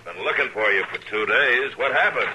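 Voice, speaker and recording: An American actor playing a steady, authoritative frontier marshal in an old-time radio western, terse and dryly good-humored. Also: male voice, medium pitch, moderate pace, lightly acted. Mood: concerned. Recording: slightly noisy vintage radio recording.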